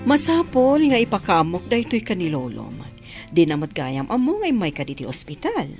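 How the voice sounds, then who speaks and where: Woman playing friendly doctor, expressive, conversational, animated, radio play, faint music bed. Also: on the low side, moderate pace. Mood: teasing, kindly. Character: friendly female doctor, teasing and philosophical. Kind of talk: Ilocano radio drama acting.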